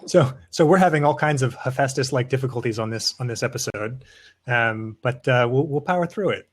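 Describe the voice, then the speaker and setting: A man hosting a podcast interview in a casual, slightly halting way, restarting his phrases as he asks questions. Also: mid-range voice.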